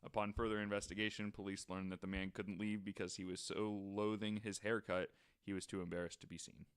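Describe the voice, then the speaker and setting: A man's voice: lowish voice, flat delivery, fairly quick, smooth. A man on a podcast panel, chatting casually and reading stories aloud.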